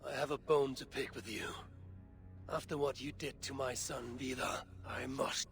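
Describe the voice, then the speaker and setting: A man playing a grim, obsessive Norse god and father in a video game cutscene, gravelly and stern, speaking in terse, weighty phrases. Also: mid-range voice, steady tone, normal speed, fairly bright tone, faint music behind. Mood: menacing.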